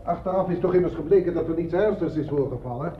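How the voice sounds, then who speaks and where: An irritable, self-important theatre director in a vintage radio play. His husky, slightly high voice is brusque and defensive. The sound is dull and lo-fi. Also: fast talker. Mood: defensive, flustered. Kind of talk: Dutch radio play acting. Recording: dull, lo-fi old radio recording.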